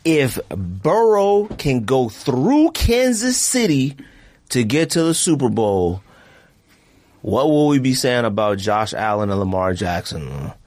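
A man hosting a podcast in casual, slangy chat, talking in an animated way and repeating himself for emphasis. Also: mid-range voice, slow pace. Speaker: podcast host. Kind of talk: casual chat, friendly debate.

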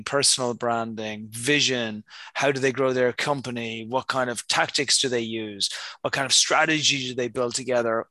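A man's voice: mid-range voice, pushed and bright, no echo. A man with an Irish accent, answering conversationally as an interview guest with emphatic lists and analogies. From Ireland. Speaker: guest, startup accelerator founder.

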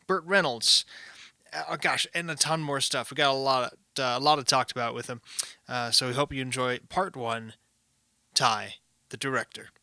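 Podcast cohost dude, bright forward voice, jokey and rambly. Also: male voice, medium pitch, dry recording. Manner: joking, rambling. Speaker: podcast co-host. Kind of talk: casual bar-talk podcast chat.

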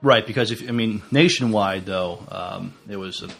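A man co-hosting a podcast in casual, unscripted fan chat, haltingly restarting and qualifying what he says. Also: fairly low pitch, gravelly, fairly bright tone, a little hiss or hum. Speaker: podcast co-host.

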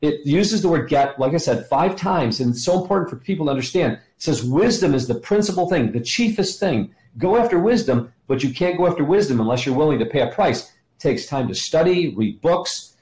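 A man's voice: fairly high pitch, animated, brisk, a little mellow. A middle-aged American man teaching conversationally as an interview guest, enthusiastic and repeating himself for emphasis. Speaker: interview guest, ministry educator. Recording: roomy, echoing sound.

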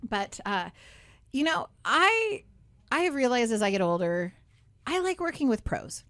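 A woman's voice: a little high, sing-song, unhurried, a bit bright, no room sound. Chatty radio host woman, dry studio sound. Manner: chatty, upbeat, many asides and "right?". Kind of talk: casual talk radio chat.

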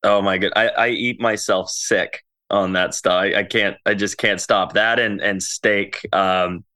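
Bright low-voiced male podcast host. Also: fairly even intonation, unhurried. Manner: friendly, chatty questions. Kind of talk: casual interview chat.

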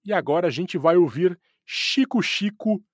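A man's voice: high voice, animated, normal speed, no room sound. A man hosting a music show, giving chatty commentary on songs with playful asides, recorded on a close studio mic. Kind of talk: radio-style music commentary.

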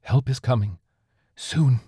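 A man voicing a weak alien creature with a hoarse, fading voice in a read-aloud story. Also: mid-range voice, slow pace, warm tone, no echo, clean studio sound, voice pushed up. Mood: pleading. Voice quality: hoarse whisper.

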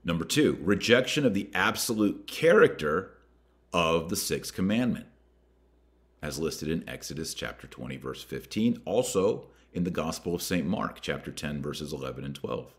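Deep-voiced American man, emphatic podcast commentator. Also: animated. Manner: emphatic, deliberate, stressing key words and names. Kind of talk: podcast commentary, reading quotations aloud and commenting on them.

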